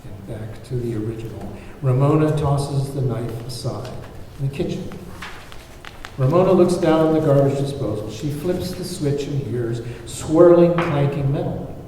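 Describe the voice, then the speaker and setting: A man's voice: mid-range voice, measured pace, mellow. A man reading scene descriptions and stage directions as narrator of a screenplay table read. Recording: very echoey hall, distant mic, faint noise.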